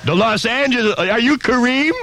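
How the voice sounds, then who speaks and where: A man's voice: very high voice, normal speed, a bit bright. A man with an American accent hosting a 1970s Top 40 radio show as a disc jockey, with zany, hammy patter and exclamatory catchphrases. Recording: 1970s radio aircheck with music.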